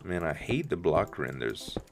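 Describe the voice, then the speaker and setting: A man with a deep voice, a bit rough from the morning, casually thinking aloud while building in a game he hosts. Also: very animated, measured pace, over music. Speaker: let's play host. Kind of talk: casual gameplay commentary.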